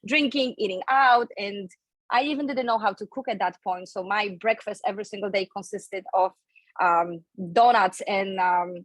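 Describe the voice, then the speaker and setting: A woman in her thirties with a Polish accent, talking as a guest on a podcast. She tells her story in a chatty, open way, at a medium pitch. The sound is dry and close, with no room echo. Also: moderate pace. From Poland. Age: 30s. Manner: chatty, candid, laughs between phrases. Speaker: guest, online fitness coach.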